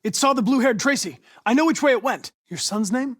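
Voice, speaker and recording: A man's voice: very high voice, a little fast. A man playing a polite, precise young male android detective in a dramatic video game. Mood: urgent, forceful. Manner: precise, formal, clipped. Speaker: police investigator android.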